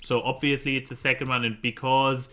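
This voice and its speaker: A man's voice: medium pitch, little pitch movement, a little fast, bright, forceful tone. A man with a German accent co-hosting a casual podcast, joking dryly and often stammering as he restarts his thoughts. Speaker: podcast co-host. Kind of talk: casual banter podcast chat.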